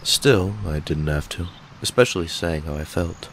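A man's voice: low voice, moderate pace, a bit bright, slight background noise. A man voicing a stoic, guarded knight with a secretly tender side, speaking formally and with reserve in a dramatic cartoon comic dub. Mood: somber, regretful.

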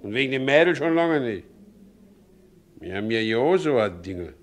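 Man acting an animated, blunt Silesian carter. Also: mid-range voice, moderate pace, close to an everyday voice. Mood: gruff, easygoing. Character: good-natured, ageing, simple carter husband, roused when accused. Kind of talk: 1960s German radio drama acting.

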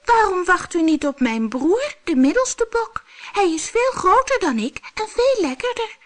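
A reader voicing a small, timid male goat who squeaks and begs politely in a storybook tale. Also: big pitch swings, unhurried, full character voice. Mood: pleading.

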